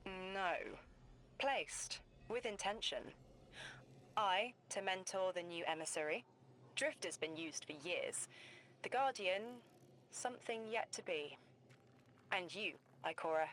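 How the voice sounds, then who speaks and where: An actress playing a cryptic female seer who speaks in slow, trance-like visions. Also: on the low side, fairly bright tone, slight background noise, rough recording. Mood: calm cryptic.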